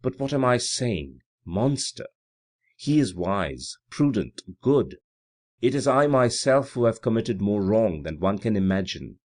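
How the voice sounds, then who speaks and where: A man's voice: on the low side, big pitch swings, a little slow, darkish tone. A man voicing a proud, lovestruck young woman, agitated and self-reproaching, in an audiobook.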